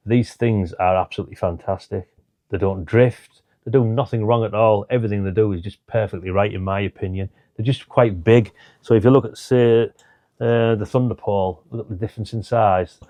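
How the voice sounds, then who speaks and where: A man's voice: on the low side, fairly even intonation, fairly quick, mellow, dry recording. A middle-aged British man giving a casual, chatty product rundown, thinking aloud in an informal way. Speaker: hobbyist product reviewer.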